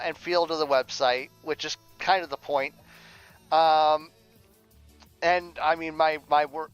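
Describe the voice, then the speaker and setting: A man with a high, smooth voice talks casually to a livestream. He rambles, circling back and repeating words as he goes. The sound is a little dull, with music playing behind him. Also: a bit bright. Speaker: streamer and audio drama writer.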